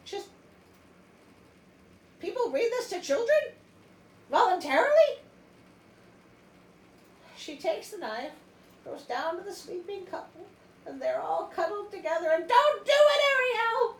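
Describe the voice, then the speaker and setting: A woman hosting a comedic storybook reading, theatrical and wry, with expressive asides. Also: extremely high pitch, unhurried, pauses often. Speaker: storyteller, show host. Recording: roomy echo, faint background noise.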